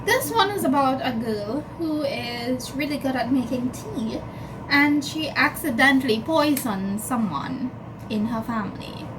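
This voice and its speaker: High-voiced woman vlogger, noisy recording. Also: a little slow. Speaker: book vlogger. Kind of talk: casual chat to camera about books. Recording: clearly noisy background ambience.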